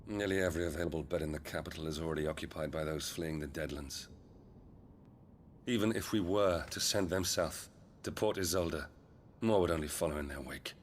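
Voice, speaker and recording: An actor playing a weary, commanding middle-aged duke with a British accent, speaking in a measured way in a fantasy game. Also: male voice, very deep voice, slight background noise. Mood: grave, resolute. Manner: measured, authoritative. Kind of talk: dramatic fantasy game acting.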